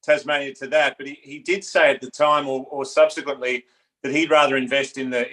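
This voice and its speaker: Aussie guy on sports radio, measured, thinks it through. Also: mid-range voice, bright, forceful tone, poor audio. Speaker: radio panelist. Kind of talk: casual sports talk radio.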